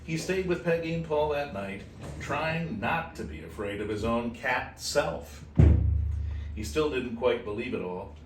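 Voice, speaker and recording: A man reads a children's storybook in an expressive way, dropping in casual asides. His voice sits in the middle register, a little away from the mic in an echoey room with faint background noise. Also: medium pitch, measured pace, far from the mic, loud music behind the voice. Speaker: host reading a children's book aloud. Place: animal shelter room with kittens.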